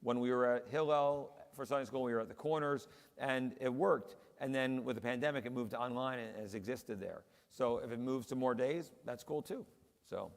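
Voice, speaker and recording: A man's voice: mid-range voice, normal speed. A man, a rabbi, chatting casually on a panel and telling stories with lots of fillers. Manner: chatty storyteller, many fillers. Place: large synagogue hall. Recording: long hall reverb.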